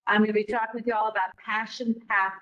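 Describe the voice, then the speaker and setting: A woman's voice: medium pitch, little pitch movement, fast talker, fairly bright tone, lo-fi sound. A middle-aged woman, a program director, giving an informal, encouraging talk to students in a classroom without a mic, asking many rhetorical questions. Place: classroom with a live audience. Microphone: no mic on, room recording.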